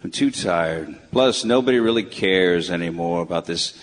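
An actor playing a weary, bitter old retired broadcaster in a live radio play, with a curmudgeonly, dismissive manner. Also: male voice, sits low, moderate pace, close to an everyday voice. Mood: weary, firm. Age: older. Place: town hall stage before a live audience. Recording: noisy live hall, long reverb.

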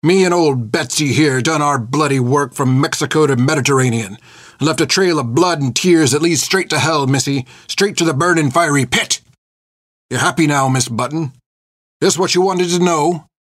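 A gruff old reformed pirate, played by a male narrator, haunted and fierce yet tender underneath. He talks in folksy, ungrammatical seaman's speech, in a dramatic audiobook performance. The sound is very dry and close. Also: mid-range voice, moderate pace, no room sound, lightly acted. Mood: bitter fury. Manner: folksy, ungrammatical rustic old-sailor talk. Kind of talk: dramatic audiobook character acting.

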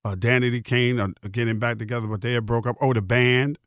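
Middle aged american guy doing a solo podcast, rambly and emphatic, medium pitch, dry close mic. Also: fairly even intonation, fast, a bit bright, dry recording. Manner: rambling, emphatic repetition, salty slang. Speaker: podcast host. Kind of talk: casual solo podcast monologue.

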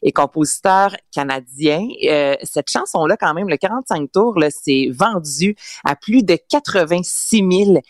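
A Quebec French woman recounting a story on a radio segment, her voice fairly low. She chats freely with many fillers, and the close mic sounds dry. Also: animated, measured pace, few pauses, dry recording. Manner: chatty storytelling, frequent fillers and laughs. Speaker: radio culture columnist. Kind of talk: casual radio chat.

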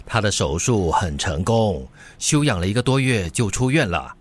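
An actor playing a friendly, caring middle-aged uncle in a radio drama, with a Singaporean accent. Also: male voice, lowish voice, very animated, a little slow, slightly rough, exaggerated voice. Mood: warm, jovial. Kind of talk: Mandarin radio drama acting.

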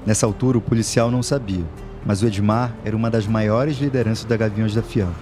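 A man co-narrating a true-crime podcast, calmly explaining case details. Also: lowish voice, brisk, music in the background. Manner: calm explanatory storytelling.

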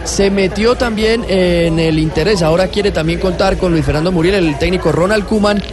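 A man on a sports radio panel explains and adds detail in a slightly high voice. Music is clearly audible behind him. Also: moderate pace.

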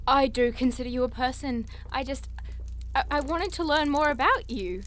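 A woman plays a curious young monster investigator in an audio drama. Her voice sits fairly high, and she speaks earnestly, tactlessly and in a faltering way. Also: normal speed, fairly bright tone. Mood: flustered, apologetic.

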